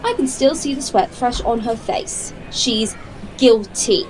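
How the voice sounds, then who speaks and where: Indignant, high-pitched shopkeeper. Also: female voice, animated, unhurried, a little mellow, poor audio. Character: indignant, accusing female shopkeeper. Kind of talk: fantasy audio drama acting. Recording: music under the dialogue.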